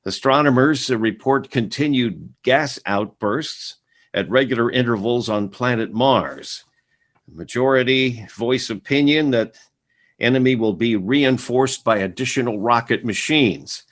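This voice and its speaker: A man's voice: mid-range voice, unhurried, a bit bright, natural voice. An actor playing a male radio news announcer in a radio play, reading urgent bulletins steadily with occasional hesitant fillers. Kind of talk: 1930s radio drama acting.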